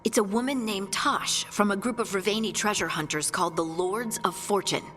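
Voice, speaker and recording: An actress playing a practical, brisk female scout companion in a video game. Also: medium pitch, a bit bright, in a large hall, soft background music, lightly acted. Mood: practical, focused.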